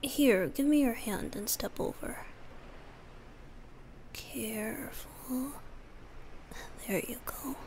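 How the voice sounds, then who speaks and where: A woman voicing a youthful male wind-spirit bard, soft, gentle and teasing, often breathy, in a romantic ASMR roleplay. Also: fairly high pitch, slow, long pauses. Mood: gentle, playful.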